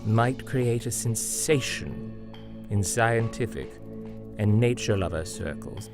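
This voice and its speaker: A man reading literary memoir passages as a documentary voice-over, measured and expressive. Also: fairly low pitch, over music.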